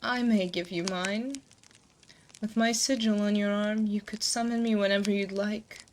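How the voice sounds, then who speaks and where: A woman playing an ancient succubus demon queen, motherly and gently teasing, in a hushed, soothing, intimate ASMR roleplay, close to a binaural mic. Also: mid-range voice, steady tone, moderate pace, lightly acted. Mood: warm, teasing. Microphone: close binaural 3Dio microphone. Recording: close binaural mic, noticeable background noise.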